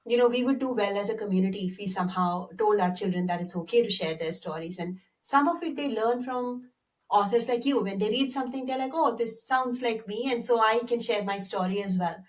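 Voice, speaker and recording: A woman's voice: mid-range voice, fairly quick. A woman host, chatting conversationally and thinking aloud in an interview. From India. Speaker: podcast host. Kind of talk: casual interview chat. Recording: voice distant from the mic.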